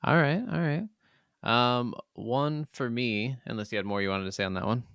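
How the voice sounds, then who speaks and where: A man's voice: medium pitch, moderate pace, fairly bright tone, clear, resonant tone, dry recording, studio quality. A man co-hosting a podcast, chatting casually about video games with agreeable, easygoing replies. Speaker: podcast co-host.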